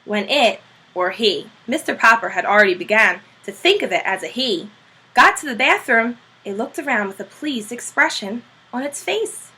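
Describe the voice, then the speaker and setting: Teacher lady reading a kids book out loud, super expressive, high bright voice. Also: moderate pace, a little hiss or hum, poor audio. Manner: expressive, animated storytelling. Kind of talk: reading a storybook aloud to children.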